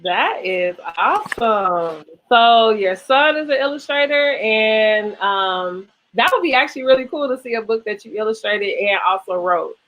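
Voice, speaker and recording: A woman's voice: fairly high pitch, lively intonation, a little slow, hardly any pauses, a bit bright, slight background noise. An American woman hosting a casual interview in an effusive, encouraging way. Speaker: interview host.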